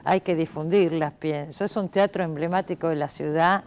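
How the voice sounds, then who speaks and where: A woman with a Rioplatense Argentine accent hosts an interview. Her voice is a little lower than average, and her delivery is conversational, as in a broadcast chat with a guest. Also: steady tone, normal speed, darkish tone, a little hiss or hum. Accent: Argentine Rioplatense Spanish. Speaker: interviewer, program host.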